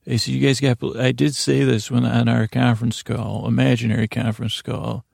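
A man hosting a podcast with a rambling, improvised monologue, halting and often interrupting himself, in a creaky, gravelly voice. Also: medium pitch, flat delivery, a little mellow, dry recording, studio quality. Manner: meandering, halting, self-interrupting. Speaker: podcast host.

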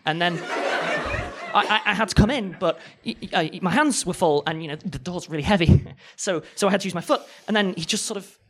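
A man's voice: sits high, fairly quick, lightly acted. A man acting a nervous, bumbling young assistant in a live audio drama, stammering, apologetic and rambling. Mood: flustered, apologetic. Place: live theatre stage. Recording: noisy live stage recording.

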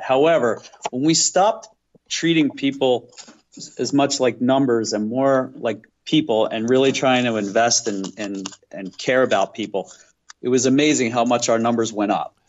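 A man's voice: medium pitch, normal speed, lo-fi sound, a little hiss or hum. A man answering interview questions as a business owner, explaining things in an earnest, emphatic, conversational way.

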